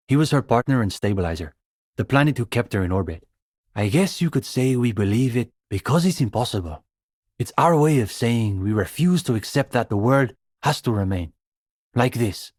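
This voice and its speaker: Low-voiced, earnest young student revolutionary played by a man. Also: a little mellow, dry recording, studio quality, natural voice. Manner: earnest, intellectual, hesitant, measured phrasing. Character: earnest, bookish young male communist student, idealistic and condescending. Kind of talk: video game character dialogue.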